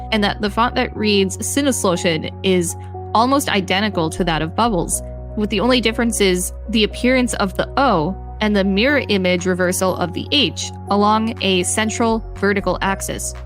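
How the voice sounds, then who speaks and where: Female co-host, middle pitch, careful article reader, faint music under the voice. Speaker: podcast co-host reading an article. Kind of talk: reading an encyclopedia article aloud.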